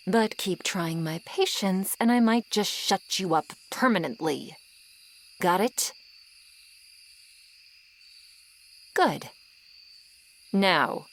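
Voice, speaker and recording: A woman voicing a bossy, exasperated but secretly caring female swamp monster in an ASMR audio roleplay. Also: medium pitch. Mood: stern, threatening. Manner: exasperated, bossy, scolding, deliberate.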